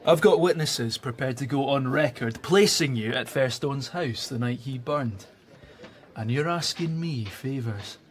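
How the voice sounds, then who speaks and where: A man's voice: medium pitch, a little slow, a little hiss or hum, natural voice. Sly, cocky male journalist played by an actor. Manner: brash, mocking. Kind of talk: radio drama acting.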